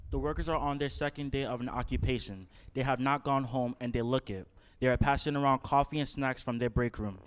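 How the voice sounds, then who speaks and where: A man's voice: medium pitch, steady tone, a little fast, close to an everyday voice. A man reading stage directions as the narrator of a scripted staged play. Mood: neutral.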